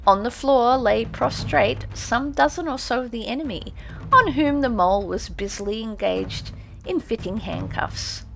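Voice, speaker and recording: A woman's voice: on the high side, expressive, a little slow, creaky, fairly bright tone, a little hiss or hum. Theatrical woman reading a storybook. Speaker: audiobook narrator. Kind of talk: dramatic audiobook reading.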